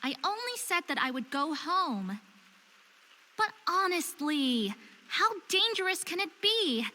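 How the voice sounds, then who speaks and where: A woman's voice: very high-pitched, sing-song, takes it slowly, bright, forceful tone, in a large hall, exaggerated voice. A woman playing an earnest, stubborn and curious young woman of faerie descent in a visual novel game. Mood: excited, nervous. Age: young adult. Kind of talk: visual novel voice acting with inner monologue.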